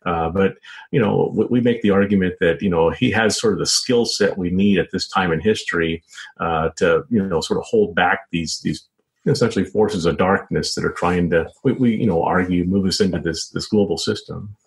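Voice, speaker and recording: An American man explaining his views as an interview guest, in halting, repetitive phrasing full of filler phrases and hedges. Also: low voice, creaky, breathy edge. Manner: halting, repetitive phrasing with many "you know" and "sort of" hedges. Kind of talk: informal explanatory interview answers.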